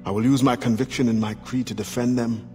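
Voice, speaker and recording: An actor playing a solemn, principled assassin no longer young, who speaks formally and deliberately in a video game drama. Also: male voice, medium pitch, fairly even intonation, warm tone, natural voice. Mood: somber resolve. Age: middle-aged. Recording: dramatic music behind.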